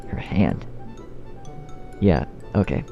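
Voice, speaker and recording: A man narrating fan fiction in outtakes, halting and restarting, swearing at his own slips in a self-deprecating way. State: shivering from cold. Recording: dull lo-fi sound, loud music. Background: loud music.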